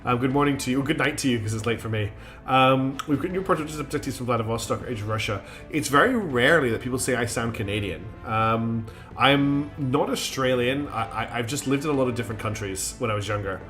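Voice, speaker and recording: A man's voice: medium pitch, a little fast, fairly bright tone, soft background music. A man streaming a strategy game live. He thinks aloud in a chatty way, with many ums and restarts, and his nose is slightly blocked.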